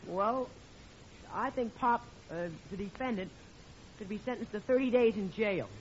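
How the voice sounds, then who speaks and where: An earnest, halting teenage boy acted by a male performer in an old radio comedy. His voice is high and youthful. The recording is dull and noisy. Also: close to an everyday voice. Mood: earnest, hesitant. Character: earnest, hesitant teenage son. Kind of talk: 1940s radio sitcom acting.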